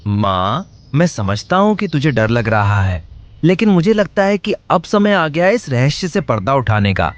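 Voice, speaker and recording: A man's voice: mid-range voice, sing-song, moderate pace, no echo, lightly acted. A man playing a brave young village son in a children's story, speaking earnestly and reassuringly, with slightly dull sound. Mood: earnest, resolute. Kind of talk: acted dialogue in a children's moral story.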